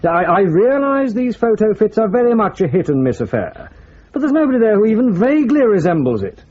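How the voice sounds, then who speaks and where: High, fussy, peevish British official. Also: male voice, very animated, fairly quick, a little mellow. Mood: annoyed. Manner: fussy, sarcastic. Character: peevish, snide British police colleague, man. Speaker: actor in a radio drama. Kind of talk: British radio drama acting. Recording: muffled radio drama recording.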